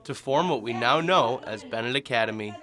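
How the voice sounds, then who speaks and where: A man's voice: medium pitch, brisk, crowd noise. A man with an American accent reading scripted narration as a television news reporter.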